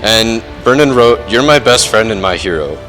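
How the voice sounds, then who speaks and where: A young man giving an informal best man speech at a wedding reception, halting, with dry, self-deprecating humor. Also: lowish voice, animated, fairly bright tone, soft background music, low-quality recording. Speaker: best man, groom's older brother. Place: wedding reception, speaking to guests.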